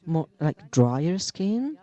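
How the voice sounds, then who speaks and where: Halting man giving a medical lecture. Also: fairly high pitch, very animated, warm tone. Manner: halting, many fillers and restarts. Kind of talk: conference lecture.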